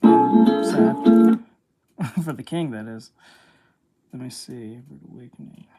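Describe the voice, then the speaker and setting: A woman artist chatting casually while she draws, reacting with quick exclamations and plenty of swearing. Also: extremely low pitch, sing-song, mellow, breathy edge, rough recording. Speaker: artist streaming while drawing. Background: music.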